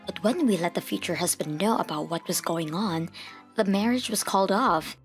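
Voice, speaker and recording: Casting: female narrator, lowish pitch, scripted first-person comic storytelling, music underneath. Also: moderate pace. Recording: music behind the voice.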